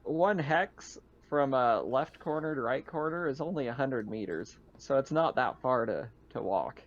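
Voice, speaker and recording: A man's voice: mid-range voice, lively intonation, unhurried, dull audio. A man acting as game master, narrating and explaining a tabletop game in a casual, informal way.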